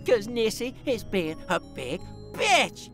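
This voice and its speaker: A woman's voice: on the high side, big pitch swings, slow pace, over music. A woman voicing a bratty, flamboyant, foul-mouthed male demon, a whiny and dramatic baby brother in a comic dub. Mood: whiny, indignant.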